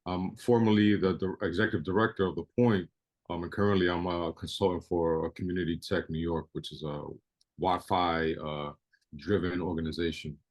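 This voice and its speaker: A man's voice: low voice, fairly even intonation, moderate pace, a little mellow. A man who is a former executive director, introducing himself with hesitant fillers. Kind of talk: oral history interview.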